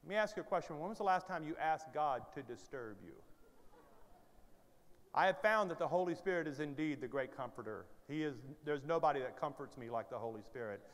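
A man's voice: a little high, animated, brisk. A man in his fifties preaching a sermon on a church stage with a headset mic, moving from conversational storytelling to urgent exhortation as he paces and gestures. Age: 50s. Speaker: pastor. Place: large church stage with hall reverb. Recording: hall reverb from large sanctuary.

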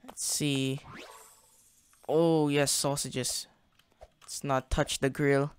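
A man's voice: medium pitch, little pitch movement, extremely slow, clean tone. A young man hosting a gaming channel, casually narrating his play in a chatty, slangy way, thinking aloud as he goes. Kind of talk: casual gameplay commentary.

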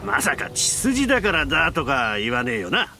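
An actor playing a burly, brash, friendly warrior in a video game, with rough, boisterous colloquial speech. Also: male voice, a little high, huge pitch swings, normal speed, over music, exaggerated voice. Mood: hearty, brash. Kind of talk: video game cutscene voice acting.

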